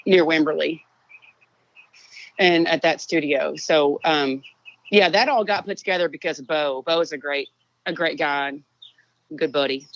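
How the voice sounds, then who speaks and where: A Texan songwriter, a woman, chatting in an interview, relaxed and rambling with lots of fillers. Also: normal speed, pauses often, dull audio. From Texas. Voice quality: low singing voice. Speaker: songwriter guest. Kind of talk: casual interview chat.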